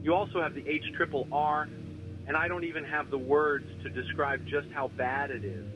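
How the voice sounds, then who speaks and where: A man playing a grave, foreboding weather scenario narrator, reading scripted lines in a solemn, formal, measured way. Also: fairly high pitch, steady tone, background noise, natural voice. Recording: dull lo-fi audio over music.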